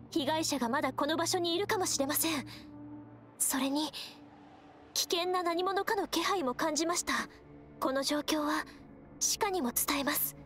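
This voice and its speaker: A woman voicing a gentle, earnest young female scout in an anime game, speaking politely and with measured solemnity. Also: high-pitched, natural voice. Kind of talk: Japanese anime game voice acting.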